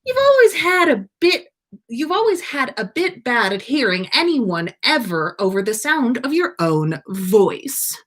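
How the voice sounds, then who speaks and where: A woman's voice: fairly high pitch, big pitch swings, a little slow, fairly bright tone, voice pushed up, full character voice. A woman voicing a cocky schoolboy in a story, mocking his rival with amusement. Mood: amused taunting.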